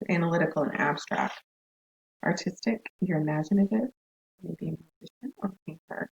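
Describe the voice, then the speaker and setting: Scratchy hoarse lady teacher, chatty lecture, lots of fry, kinda muffled lo-fi sound. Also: lowish voice, moderate pace. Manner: conversational, chatty asides. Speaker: counseling instructor. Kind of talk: recorded class lecture.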